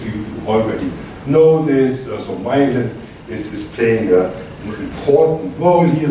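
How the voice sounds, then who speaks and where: A man's voice: medium pitch, mellow, slight background noise. A man from Germany with a strong German accent gives a conference lecture as a research scientist and keeps checking in with the audience with "okay?". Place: conference hall. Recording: distant mic in an echoey hall.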